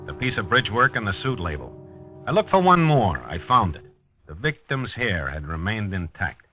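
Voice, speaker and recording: Dogged noir detective, American, low-pitched and terse, very punchy delivery, vintage radio drama. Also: male voice, very animated, moderate pace, bright, forceful tone, soft background music. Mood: grim, methodical. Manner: terse, wry, hard-boiled. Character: dogged, hard-boiled male police detective narrating his case. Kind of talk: 1950s radio drama acting, noir narration. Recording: dull vintage 1950s radio recording.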